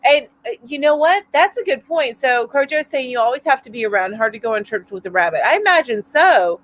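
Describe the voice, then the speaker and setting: A chatty middle-aged woman hosting a live stream from home, her voice sitting a bit high. She rambles along with fillers and little laughs as she reads out comments. She sounds a touch stuffy from allergies. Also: animated, fairly quick. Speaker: host of a weekly live chat. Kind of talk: casual live-stream chat reading viewer comments. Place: home, mountain area.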